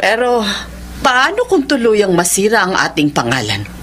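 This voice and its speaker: A woman's voice: mid-range voice, huge pitch swings, moderate pace, a bit bright, slight background noise. An actress playing a worried, protective middle-aged mother in a Filipino radio drama, with a Filipino accent. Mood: worried, reproachful.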